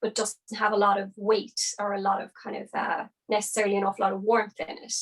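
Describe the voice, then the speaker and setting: A woman's voice: mid-range voice, completely flat delivery, quick pace. A young woman, an opera singer, chatting as a podcast guest and explaining things with lots of hedging fillers. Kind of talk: casual interview chat.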